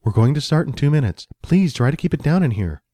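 A man voicing a young female stage assistant, brisk and businesslike. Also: a little high, big pitch swings, dark tone, no echo, voice pushed up.

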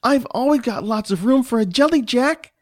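A man voicing an excitable, sweet little boy in a storybook, with an eager, squealing manner. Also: very high-pitched, normal speed, no echo, studio quality, pitched above the performer's own voice. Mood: cheerful.

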